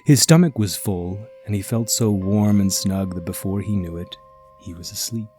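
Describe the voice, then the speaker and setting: A man reading a scripted ghost story as narrator, dramatic and at times hushed. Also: low-pitched, normal speed, faint music behind.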